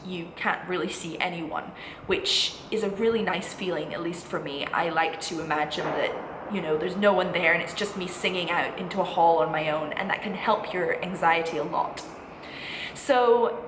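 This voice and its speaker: A woman in her twenties, a student vlogger, giving chatty, encouraging, emphatic advice straight to viewers in a casual style. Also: fairly low pitch. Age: 20s. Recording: echoey room with clear background noise.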